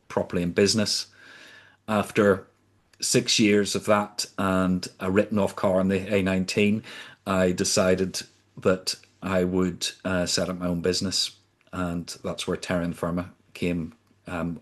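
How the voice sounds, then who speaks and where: A man in his fifties from the UK, a podcast guest recounting his career in a measured, deliberate way. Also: deep voice. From United Kingdom. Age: 50s. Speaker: podcast guest, sustainability consultant. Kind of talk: reflective storytelling in conversation.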